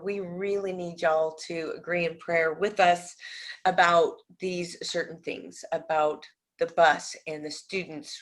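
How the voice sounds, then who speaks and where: A middle-aged ministry director with a slight Tennessee-tinged Southern accent, giving a casual, earnest update and asking listeners to pray. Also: female voice, on the low side, fairly bright tone. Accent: Tennessee-tinged American Southern. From Nebraska. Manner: earnest, chatty, asks for prayer, self-teasing.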